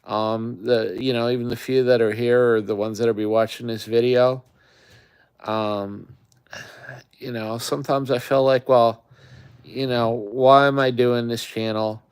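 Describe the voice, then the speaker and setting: A man's voice: mid-range voice, steady tone, a little slow, smooth, no room sound, clean studio sound. A middle-aged man from the Great Lakes region hosting a camping channel, chatting casually on a live stream in a rambling way with lots of fillers.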